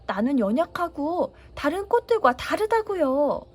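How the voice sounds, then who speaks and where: A woman's voice: high-pitched, very animated, a little slow, voice pushed up. A woman voicing a fussy, complaining rose in a read-aloud children's story, speaking in a whiny, demanding way.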